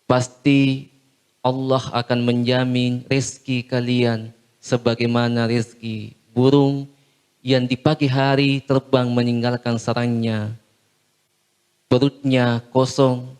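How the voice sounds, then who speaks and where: A man preaching a short Islamic sermon in a measured, solemn way, phrase by phrase with long pauses. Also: medium pitch, flat delivery, darkish tone, clean tone.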